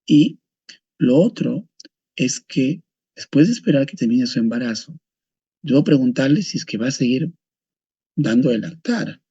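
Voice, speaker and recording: A man teaches a medical class over a video call, firm and explanatory as he speaks right to his students. His voice is gravelly and mellow, a little husky. The audio comes through dull and lo-fi. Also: mid-range voice, lively intonation, a little slow, pauses often, no room sound. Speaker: doctor teaching a medical course. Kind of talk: online lecture.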